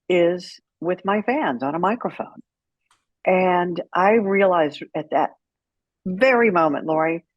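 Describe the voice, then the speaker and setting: A woman's voice: sits low, breathy edge, dry recording, dull audio. A woman speaking as an interview guest, telling her story in a candid, outspoken and emphatic way. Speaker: guest, author and caregiver advocate.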